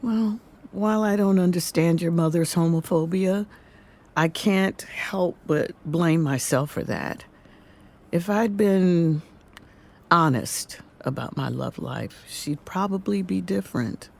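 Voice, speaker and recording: An actress playing an older, affectionate grandmother in an audio drama, talking in a measured, reflective and regretful way. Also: fairly low pitch, vocal fry, a little mellow, full character voice.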